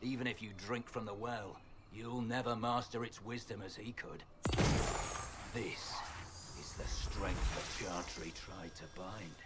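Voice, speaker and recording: An actor playing a bitter, ruthless, taunting general, a zealous armoured villain in a video game. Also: male voice, fairly low pitch, steady tone, a little slow, natural voice. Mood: defiant, zealous. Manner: taunting, menacing. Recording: noisy game audio with ambience.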